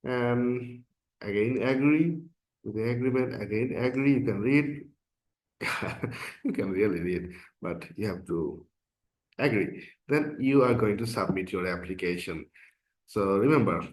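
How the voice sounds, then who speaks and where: A man's voice: lowish voice, lively intonation, unhurried. A man from Bangladesh, a non-native speaker of English whose first language is Bangla, casually explaining an application form step by step as an instructor. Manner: casual and explanatory, frequent "uh" and "okay". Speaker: course instructor. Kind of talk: screen-recorded tutorial walkthrough.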